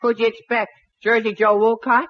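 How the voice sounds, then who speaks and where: A man's voice: very high voice, level tone, normal speed, a little hiss or hum. An actor playing a sassy, wisecracking teenage boy sidekick in a 1940s radio sitcom. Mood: cheeky. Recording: dull 1940s radio broadcast.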